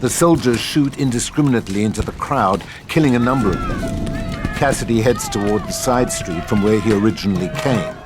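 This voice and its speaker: Male narrator for script directions, lowish voice, clear music bed underneath. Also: fairly quick. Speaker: narrator reading stage directions.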